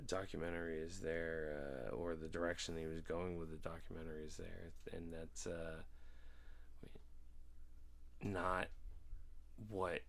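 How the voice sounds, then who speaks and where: Low-voiced gravelly man in casual debate. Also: flat delivery, measured pace, pauses often, fairly bright tone. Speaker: podcast participant in discussion.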